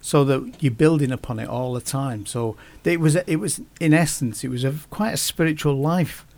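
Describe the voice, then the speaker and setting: Male podcast guest, gravelly voice, hesitant delivery with frequent restarts. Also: mid-range voice, darkish tone. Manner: halting, many restarts and fillers. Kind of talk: casual podcast conversation.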